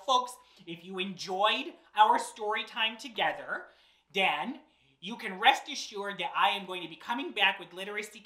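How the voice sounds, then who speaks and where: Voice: mid-range voice, pushed and bright. A drag storyteller with a theatrical, exaggerated and playful delivery, reading aloud to children and chatting as host. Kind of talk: animated children's read-aloud and chatty presenting. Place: small indoor set, seated in an armchair. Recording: roomy indoor echo.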